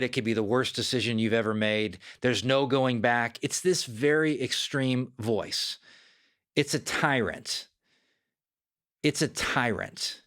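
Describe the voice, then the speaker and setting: A man hosting a self-help podcast, speaking in an earnest, conversational coaching manner straight to the listener. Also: medium pitch. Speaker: podcast host.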